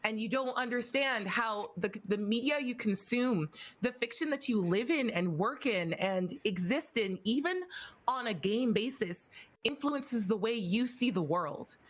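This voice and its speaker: A young woman speaking in a measured, pointed way, building her argument step by step as a panelist, on a headset at home. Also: a little high, lively intonation. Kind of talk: impassioned roundtable discussion. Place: home room, indoors.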